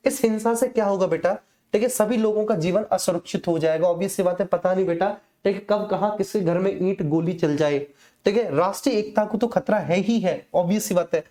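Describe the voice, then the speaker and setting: A teacher with a soft-edged, very mellow voice leads an exam-prep lesson. The delivery is explanatory, with key phrases said again and frequent checks that the students are following. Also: medium pitch, brisk. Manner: repeats phrases, checks understanding, addresses students as children.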